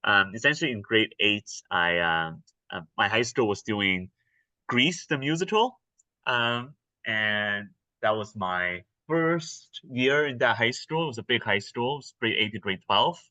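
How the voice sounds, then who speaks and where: Hesitant man, bright and dry. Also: fairly low pitch, animated, unhurried, dry recording, low-quality recording. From Taiwan. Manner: hesitant, many ums and restarts. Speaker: interview guest, actor. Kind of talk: casual interview answers.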